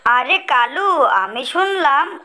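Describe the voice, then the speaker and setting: Woman as a high-voiced, scheming female crow. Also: lively intonation, slow pace, bright, forceful tone, faint music behind, heavily acted. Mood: jealous, scheming. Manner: complaining, insinuating. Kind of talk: children's cartoon voice acting.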